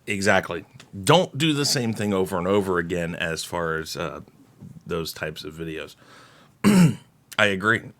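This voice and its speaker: A man hosting casual reaction commentary, speaking in a measured way with pauses and throat clearing. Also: deep voice, very animated, a bit bright. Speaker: host reacting to a video.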